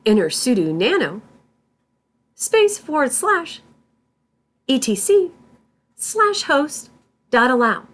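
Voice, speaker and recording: A woman instructor reading scripted tutorial narration in a deliberate, step-by-step manner. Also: high voice, sing-song. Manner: deliberate, spells out terms symbol by symbol.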